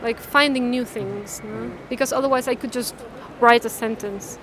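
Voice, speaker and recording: A young woman talks casually in a fairly high voice, feeling for her words as she goes. She holds a mic in a large, busy indoor fair hall, with clear noise around her. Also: fairly even intonation, moderate pace. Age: young adult. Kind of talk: casual conversation. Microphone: handheld mic, seen.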